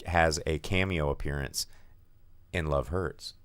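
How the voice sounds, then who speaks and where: Podcast co-host, man, hoarse and deep, loose chatty delivery, dry close sound. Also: a little slow, dry recording. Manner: rambling, filler-heavy, animated asides. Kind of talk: casual movie news chat.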